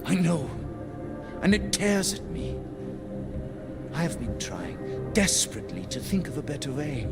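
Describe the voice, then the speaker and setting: An actor playing a principled, embattled pacifist leader in a video game cutscene, speaking with solemn, formal eloquence. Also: male voice, fairly high pitch, very animated, a little slow, gravelly, heavily acted. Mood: earnest, troubled. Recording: loud music behind the voice.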